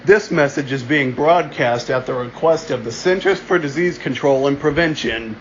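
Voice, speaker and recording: A man playing a solemn emergency alert announcer in an amateur mock broadcast, deliberate and halting, restarting his phrases. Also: mid-range voice, lightly acted. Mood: urgent warning. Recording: dull lo-fi home recording, noticeable background hum.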